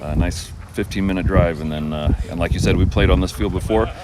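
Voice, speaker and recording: Deep-voiced guy, baseball coach being interviewed outside, plain talker, loud background noise. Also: fairly quick. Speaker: high school baseball head coach. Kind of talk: pre-game interview answers. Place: outdoors at a ballfield. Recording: very noisy outdoor recording, vehicle noise.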